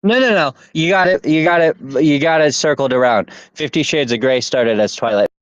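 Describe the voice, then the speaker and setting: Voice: mid-range voice, fairly quick, no echo, lo-fi sound. A speaker in casual podcast banter, rambling, repeating phrases and swearing freely. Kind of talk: casual chat, podcast banter about pop culture.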